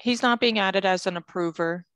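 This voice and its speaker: A woman's voice: medium pitch, moderate pace, a bit bright, dry recording. A woman talking casually in a work meeting on a video call through a headset.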